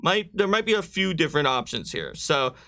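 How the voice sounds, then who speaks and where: A man's voice: a little high, big pitch swings, a little fast, vocal fry, pushed and bright. A man casually explaining rules and options as a host on a live tabletop game stream. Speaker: game host guiding character creation.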